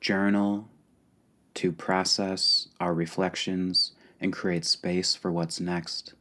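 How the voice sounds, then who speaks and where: A man leading a guided meditation calmly and deliberately, pausing between short phrases. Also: deep voice. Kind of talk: guided meditation, slow and spacious.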